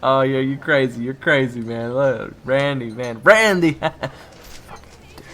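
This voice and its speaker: A man's voice: mid-range voice, measured pace, sharp tone. A man playing a flustered, stammering young waiter in an audio roleplay, who secretly hunts monsters and swings between chummy chatter and sweary irritation. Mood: forced chummy. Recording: clearly noisy background.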